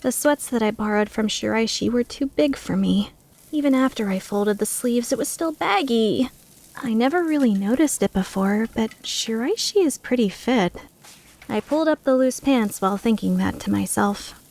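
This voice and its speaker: A woman's voice: fairly high pitch, animated, normal speed, darkish tone. A woman playing a flustered, skeptical young woman who narrates her own story introspectively in a fan-dubbed drama. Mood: puzzled, reflective. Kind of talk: fan dub drama with first-person narration.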